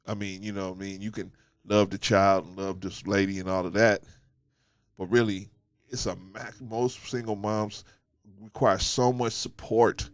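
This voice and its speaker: A man hosting his own commentary show, talking through a stuffy nose from a cold in a fairly low voice. He lectures emphatically, drives his points home by repeating them, and keeps checking in with "right?". The sound is dry, with no room echo. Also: flat delivery, moderate pace. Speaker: host of a commentary channel. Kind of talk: casual opinion monologue.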